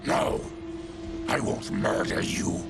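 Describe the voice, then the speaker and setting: An actor playing a gruff, honorable mutant warrior with a growling, gravelly voice in a video game cutscene. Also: male voice, low voice, wildly swooping pitch, takes it slowly, heavily acted. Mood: grave, resolute. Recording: loud music under the voice.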